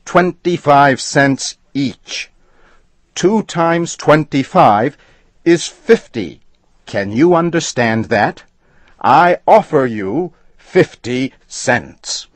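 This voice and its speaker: A man voicing a furious, indignant gentleman customer who shouts and blusters. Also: a little high, expressive, very slow, few pauses, dry recording, pitched above the performer's own voice, exaggerated voice. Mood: outraged. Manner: blustering, spelling things out angrily.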